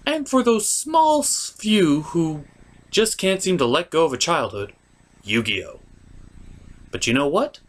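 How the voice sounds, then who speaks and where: A man with a slightly high voice delivers comedic review narration. His pitch swings widely as he exaggerates his points like a theatrical show host, playing up his exasperation. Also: sing-song, a little slow.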